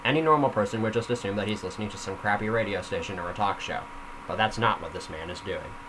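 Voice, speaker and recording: A man's voice: on the low side, quick pace, background noise. A man reading a story aloud casually, with informal self-critical asides off the script. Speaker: reader of their own story.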